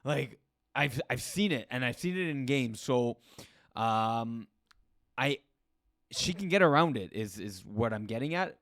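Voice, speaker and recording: A man co-hosting a sports podcast, talking haltingly with many fillers and quick agreements. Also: medium pitch, lively intonation, a bit bright, no room sound, studio quality. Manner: hesitant, lots of fillers and agreeing interjections. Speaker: podcast co-host. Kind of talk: casual sports chat.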